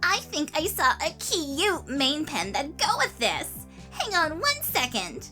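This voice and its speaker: Woman as a slow, high-voiced bossy filly. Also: sing-song, pushed and bright, soft background music, exaggerated voice. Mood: bossy, girlish. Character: bossy, girly young pony in a cartoon. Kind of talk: fan fiction audio drama acting.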